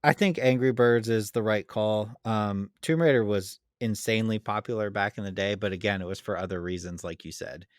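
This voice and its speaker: A man's voice: on the low side, a little fast, few pauses, no echo, clean studio sound. A man hosting a casual podcast debate in an easygoing, agreeable, chatty way. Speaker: podcast host. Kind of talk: casual chat, moderating a debate.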